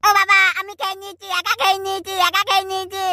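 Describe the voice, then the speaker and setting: A woman's voice: extremely high pitch, monotone, rapid-fire, bright, forceful tone, heavily acted. A woman voicing a whiny little boy in a cartoon who tattles and wails about his brother. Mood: whining. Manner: whining, wailing complaints.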